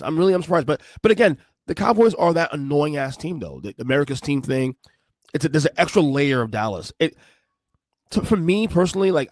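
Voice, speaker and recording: A man's voice: fairly high pitch, no room sound. A man in his forties hosting a podcast, giving rambling, emphatic commentary on video clips and often repeating himself. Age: 40s. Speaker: podcast host.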